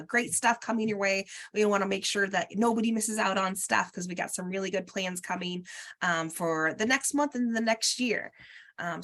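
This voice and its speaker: A woman's voice: medium pitch, pushed and bright. A woman with a slight cold hosting a guild meeting over video call, rambling through announcements with many ums and uhs.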